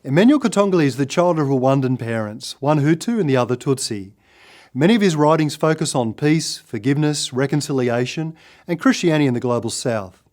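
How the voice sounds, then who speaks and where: Measured male interview host. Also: mid-range voice, animated. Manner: measured, attentive, frequent soft backchannel "mm". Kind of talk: scripted introduction and interview questions.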